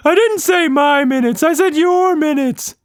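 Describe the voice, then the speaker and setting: A man's voice: very high-pitched, measured pace, dry recording, studio quality, pitched above the performer's own voice. A man voicing a quirky, cocky, friendly blobby monster in a children's story, with an emphatic, theatrical delivery. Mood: insistent.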